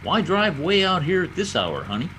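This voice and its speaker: A man's voice: fairly high pitch, big pitch swings, normal speed, fairly bright tone, slight background noise. A man playing an earnest, protective state trooper in an old time radio drama, dramatic and reassuring, recorded over a video call.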